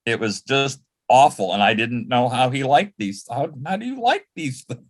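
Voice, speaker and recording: A man's voice: mid-range voice, expressive, normal speed. Man casually reminiscing in an interview. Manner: conversational, tells anecdotes with quoted dialogue. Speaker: interview guest, former personal assistant to an entertainer.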